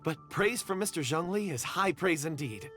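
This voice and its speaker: A man's voice: a little high, animated, moderate pace, close to an everyday voice. A man voicing an earnest, modest young man in a video game, speaking politely. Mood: pleased, agreeable. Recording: game music behind the voice.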